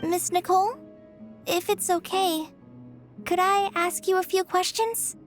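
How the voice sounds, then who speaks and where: A woman's voice: extremely high pitch, fairly even intonation, measured pace, faint music behind. A woman voicing a polite, sincere and earnest young woman in a video game. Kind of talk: video game character voice acting.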